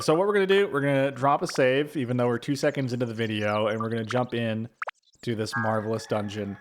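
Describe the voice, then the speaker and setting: A man's voice: mid-range voice, a little hiss or hum. A man hosting a let's play with casual, rambling commentary and jokey exaggeration.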